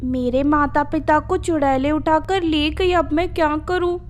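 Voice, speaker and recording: A woman's voice: high-pitched, steady tone, a little slow, slight background noise, pitched above the performer's own voice. A woman voicing a frightened, helpless young daughter, pleading plaintively in a children's story. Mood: panicked.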